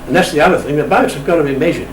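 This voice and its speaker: A man's voice: on the high side, very fast. Roomy, distant older Australian man, wryly anecdotal. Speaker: interview guest, sailing official. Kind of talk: conversational interview, anecdotal. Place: indoor room, radio shack. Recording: roomy, distant mic, steady hum.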